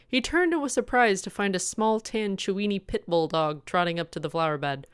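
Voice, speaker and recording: A woman's voice: medium pitch, fairly quick, smooth, no echo, clean studio sound. A woman presenting as a host, reading a comic fan fiction story aloud as scripted narration.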